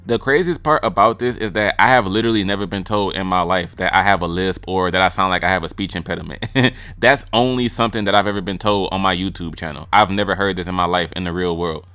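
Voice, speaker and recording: A man's voice: low-pitched, fast, hardly any pauses, sharp tone, clean tone, dry recording. A young man giving blunt, joking casual commentary as a YouTube music reviewer, slipping into mimicry of rappers' lines. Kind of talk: casual commentary ranking freestyles.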